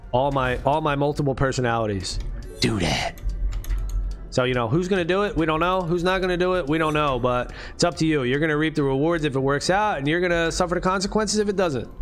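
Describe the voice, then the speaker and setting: Late-thirties male streamer, slightly high pitch, casual and profane, faint music underneath. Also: a bit bright. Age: late 30s. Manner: laid-back, slangy and profane, dude-and-bro talk. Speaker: livestream host. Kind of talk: casual live-stream chat.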